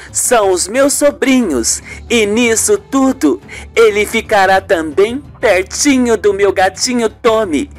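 A woman playing a poised, shrewd journalist in an amateur radio soap, with a pointed, probing manner. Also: medium pitch. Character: poised, shrewd woman TV journalist confronting her mother-in-law.

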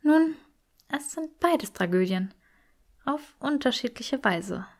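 A woman voicing a gentle, forgiving, weary young woman healer in a fiction audiobook, soft, breathy and earnest. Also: high-pitched, expressive, slow pace, voice pushed up, exaggerated voice. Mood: thoughtful.

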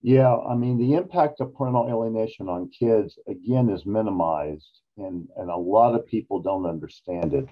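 Older guy in his 60s on a video call, deep slow expert talk, kinda muffled. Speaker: psychologist interview guest. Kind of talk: explanatory expert interview. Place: small office, indoors. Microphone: video call computer microphone. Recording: dull, lo-fi video-call audio.

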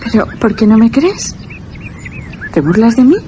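A woman's voice: fairly high pitch, very animated, measured pace, warm tone. An innocent young peasant woman, earnest and superstitious. She speaks in a radio-drama style, with a little ambient noise behind her. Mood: pleading, ominous. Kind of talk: Spanish radio drama acting.

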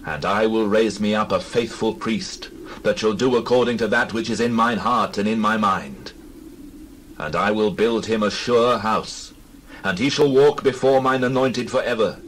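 A man performs a stern man of God in a Bible drama. His low voice declaims judgment with solemn, accusing weight. Birdsong and background noise sit behind the speech. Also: normal speed, a bit bright, natural voice, poor audio. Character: stern holy prophet delivering God's judgment.

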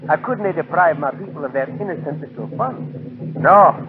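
Male actor as genteel gloating villain, highly varied intonation, mock-polite, 1940s radio drama, thin narrow-band recording. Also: expressive, noisy background, natural voice. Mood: gloating menace. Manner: mock-courteous, gloating, unhurried formality. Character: smug, sinister villain, genteel male diamond schemer.

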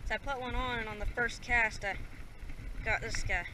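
A man's voice: very high voice, fairly even intonation, moderate pace. A teenage young man chatting casually as a fishing vlogger, outdoors in a small boat on an action camera. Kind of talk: casual outdoor vlog chat. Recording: distant action-camera sound with loud outdoor noise.

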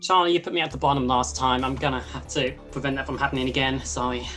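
A woman's voice: extremely low pitch, quick pace, fairly bright tone, soft background music. A woman contestant on a video call, sassy and drawn out in her delivery. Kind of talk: casual chat on a video call.

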